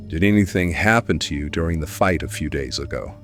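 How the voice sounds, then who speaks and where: An actor playing a caring, decisive family friend with gently questioning, formal speech, in a dramatised audiobook. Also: male voice, extremely low pitch, brisk, soft background music. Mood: concerned.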